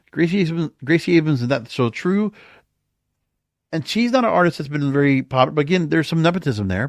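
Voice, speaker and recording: A man's voice: fairly high pitch, no echo, studio quality. A man hosting a podcast, giving opinionated music industry commentary in a conversational, emphatic way, sometimes reading aloud. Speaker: podcast host.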